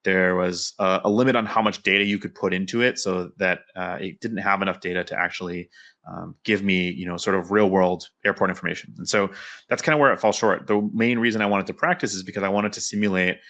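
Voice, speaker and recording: Fast-talking low-voiced man presenting. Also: steady tone, fairly bright tone. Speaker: conference speaker, developer and pilot. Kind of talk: technical conference presentation, conversational explaining.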